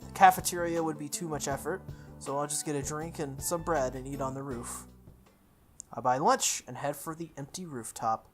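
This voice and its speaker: A woman reading a visual novel aloud in first person, with expressive delivery, occasional stumbles and her own asides. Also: low voice, moderate pace, soft background music. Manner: expressive, self-correcting reading.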